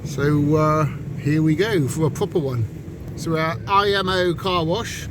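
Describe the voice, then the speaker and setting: A man's voice: fairly high pitch, a little slow. A man chatting casually as he vlogs about his car, with some background noise from cars and surroundings. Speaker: car vlogger. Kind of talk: casual vlog chat.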